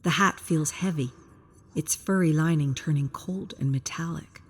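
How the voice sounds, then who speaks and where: A woman's voice: deep voice, moderate pace, husky. A woman reading a scripted story as a dramatic, suspenseful narrator. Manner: present-tense suspenseful storytelling.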